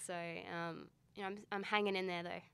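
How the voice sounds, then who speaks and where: Aussie woman in her 30s, smooth clear voice, upbeat chatty guest, laughs easy. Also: on the low side, little pitch movement, a little fast, fairly bright tone. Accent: softened Australian. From Australia. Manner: upbeat, self-deprecating, laughs easily. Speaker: guest, photographer. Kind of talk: casual conversation. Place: small studio indoors.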